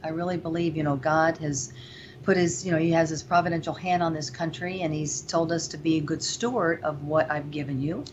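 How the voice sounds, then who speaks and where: A woman's voice: low-pitched, steady tone, brisk. Earnest rambling woman from Venezuela. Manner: earnest, rambling, many fillers. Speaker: guest. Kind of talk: interview. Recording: dull lo-fi with faint noise.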